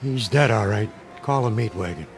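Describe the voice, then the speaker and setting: An actor playing a gruff, unmoved street cop in a radio drama, matter-of-fact. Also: male voice, on the low side, animated, normal speed, over music. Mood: businesslike, grim.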